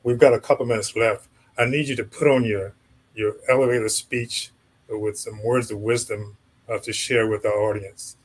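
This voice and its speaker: Male moderator, lowish husky voice, easygoing, hands off to the panel. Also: steady tone, moderate pace, poor audio. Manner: conversational, directs questions to panelists. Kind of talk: panel discussion.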